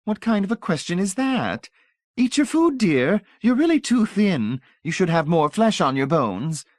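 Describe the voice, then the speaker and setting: A gushing, syrupy matron with a sweet menace under every word, as a male narrator puts her on. She sings her words high and bright and sweeps through a wide, lively range. The voice sits close and dry, with no room around it. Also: unhurried, no room sound. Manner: gushing, syrupy and matter-of-fact.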